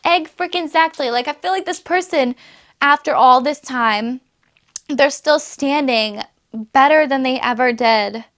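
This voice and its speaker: A woman's voice: sits high, measured pace, a bit bright. A woman giving a casual, chatty tarot reading, losing her voice a little, with frequent fillers and laughs. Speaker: tarot reader.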